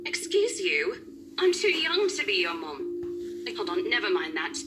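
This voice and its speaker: A performer voicing a bossy, brisk female coworker who gives snappy, impatient orders. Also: medium pitch, wildly swooping pitch. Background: game music.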